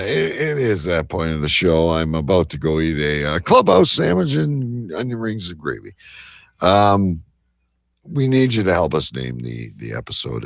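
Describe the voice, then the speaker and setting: A man's voice: sits low, vocal fry, no echo, clean studio sound. A man hosting a live show with casual, jokey banter and a rambling manner. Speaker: host of a live online show.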